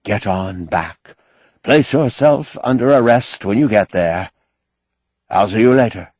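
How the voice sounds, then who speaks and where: A man voicing a measured, guarded government secretary with calm authority, formal and restrained, in an audiobook. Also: on the low side, no room sound. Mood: curt, restrained. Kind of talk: audiobook character acting.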